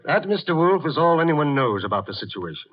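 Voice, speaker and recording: Grave official, husky, widely varying pitch, formal delivery, vintage radio drama. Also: male voice, sing-song, brisk. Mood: grave, concerned. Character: earnest, worried male government official. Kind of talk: 1950s radio drama acting. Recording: muffled vintage 1950s radio recording.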